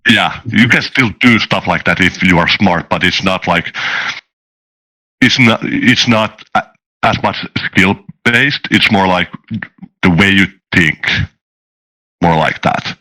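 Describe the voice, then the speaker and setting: A man talking casually about game design on a podcast, haltingly and repeating words. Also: sits low, bright, forceful tone, low-quality recording.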